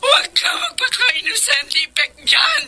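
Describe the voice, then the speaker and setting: A woman's voice: extremely high pitch, big pitch swings, measured pace, very noisy, heavily acted. An actress with a Filipino accent playing a wronged, emotional woman in a love triangle in a radio drama. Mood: furious, tearful.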